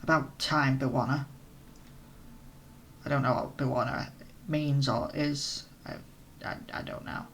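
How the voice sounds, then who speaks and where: A man with a strained, nearly hoarse voice, reading a visual novel aloud with casual asides for a let's play. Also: medium pitch, fairly even intonation, unhurried, slight background noise. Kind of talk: reading game text aloud with commentary.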